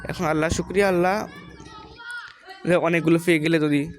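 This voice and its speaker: A woman's voice: on the low side, steady tone, brisk, a little mellow, slight background noise. A woman with a Bangladeshi accent giving informal moral advice in a didactic, questioning way, repeating her points.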